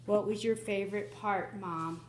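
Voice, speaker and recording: In an echoey indoor room, a middle-aged woman chats casually about a picture book. She sounds engaged and expressive, with a medium-pitched voice. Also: unhurried. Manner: expressive, engaged, asking questions. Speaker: daughter listening and commenting on a story. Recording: roomy echo around the voice.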